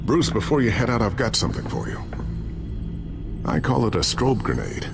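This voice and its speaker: A capable male inventor and loyal ally in a video game. His voice is deep, with an assured, matter-of-fact delivery. Music is clearly audible underneath. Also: huge pitch swings, moderate pace, full character voice. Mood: helpful. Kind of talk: dramatic video game acting. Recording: music behind the voice.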